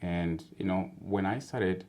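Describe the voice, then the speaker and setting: A man from Zimbabwe, talking as an interview guest in a relaxed, chatty way, with many fillers and easy laughter. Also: low-pitched, unhurried. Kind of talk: casual interview chat.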